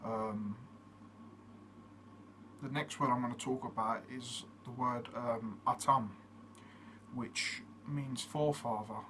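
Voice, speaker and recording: A man hosting his own channel, giving a casual explanatory monologue in a steady way, with frequent fillers. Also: mid-range voice, slow pace. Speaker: channel host. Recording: noticeable background noise.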